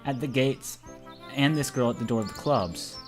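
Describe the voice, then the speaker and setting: A man's voice: medium pitch, normal speed. A man reading a visual novel aloud among friends, narrating informally and tripping over the script now and then. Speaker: narrator of a let's play. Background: faint game music.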